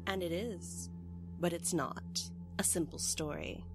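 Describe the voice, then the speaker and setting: A woman playing a low-pitched travelling bard, loud music. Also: measured pace. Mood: inviting. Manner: inviting, theatrical, knowing. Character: poised, welcoming female travelling bard from overseas. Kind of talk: in-character fantasy audio drama introduction.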